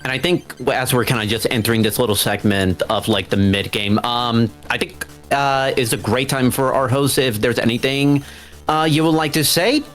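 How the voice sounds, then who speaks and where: A man speedrunner chatting casually as live commentary, halting and often repeating his words. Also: medium pitch, a bit bright, soft background music. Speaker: speedrunner in the race.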